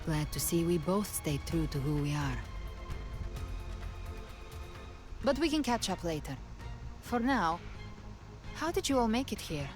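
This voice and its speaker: An actress playing a resolute young hero in a fantasy game, speaking calmly, firmly and earnestly. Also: female voice, on the low side, moderate pace, faint music behind, close to an everyday voice. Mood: calm, warm. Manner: firm, earnest. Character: resolute young female hero, calm and determined. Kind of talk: dramatic fantasy game acting.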